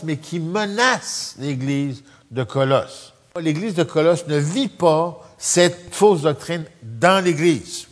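A man born in France, living in Canada, teaching a Bible study in a relaxed conversational way and often asking his listeners questions. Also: fairly high pitch, big-room sound. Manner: conversational, asks the audience questions. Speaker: pastor.

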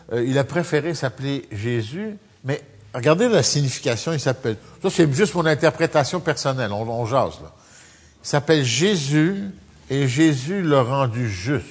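A man born in France, living in Canada, teaching a Bible study in a relaxed conversational way and often asking his listeners questions. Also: a little high, slightly rough, long reverb tail. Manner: conversational, asks the audience questions. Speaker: pastor.

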